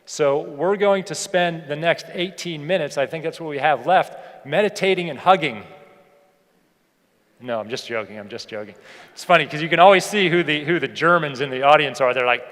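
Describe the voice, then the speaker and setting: An American man delivers a motivational keynote in a somewhat high-pitched voice. He is conversational yet emphatic, often repeating his points. His words ring out with long reverb in a large hall. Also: normal speed, a bit bright. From United States (Pittsburgh). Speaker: keynote speaker, leadership educator. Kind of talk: motivational keynote speech with personal anecdotes. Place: large indoor hall, speaking to an audience.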